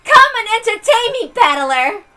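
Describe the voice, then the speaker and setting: A woman voicing a gleeful, imperious villainous witch in a visual novel read-aloud, with a drawn-out, grandiose delivery. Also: very high-pitched, big pitch swings, pushed and bright, faint music behind, voice pushed up, heavily acted.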